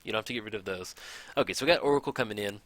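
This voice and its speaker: A man casually commenting on a card game match, thinking aloud, his voice a little raspy from hours of recording. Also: on the low side, racing, no echo. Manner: chatty, thinks aloud, counts out loud. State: slightly raspy from long recording. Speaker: gameplay commentator.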